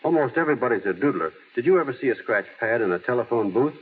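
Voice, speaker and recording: A man's voice: mid-range voice, animated, fast, husky. An actor playing an earnest, plainspoken small-town American man in old radio drama style, folksy and a little hesitant. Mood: matter-of-fact. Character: earnest small-town everyman, plainspoken, gently wry, heir to a fortune. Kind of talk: 1930s-40s American radio drama acting. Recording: tinny, hissy old radio broadcast.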